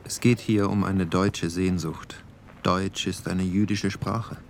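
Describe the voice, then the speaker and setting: A man's voice: deep voice, steady tone, slow, gravelly, a little hiss or hum, exaggerated voice. A man delivering scripted narration in a radio play. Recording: faint music under the voice. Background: faint background music.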